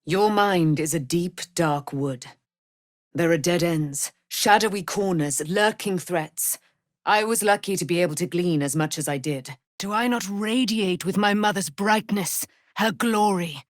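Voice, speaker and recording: An actress playing a proud, righteous immortal warrior, daughter of a moon goddess, with grandiose, formal delivery in a fantasy video game. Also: female voice, fairly low pitch, a little slow, no echo, studio quality, lightly acted. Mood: defiant, challenging, then proud, exalted.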